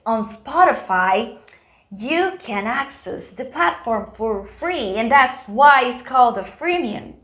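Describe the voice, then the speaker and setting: Woman with a Brazilian accent, bright-pitched explainer voice, chatty asides, roomy echo; for a lesson read. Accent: Brazilian Portuguese. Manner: playful, chatty asides to the viewer. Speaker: business educator.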